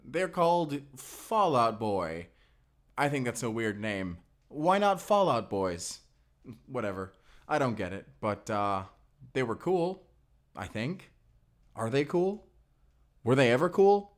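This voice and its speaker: A man's voice: medium pitch, very animated, slow pace. A man playing a young, sheltered and earnest vampire slayer, in a cinematic audio roleplay, speaking in an intimate, conversational way. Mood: wistful, playful.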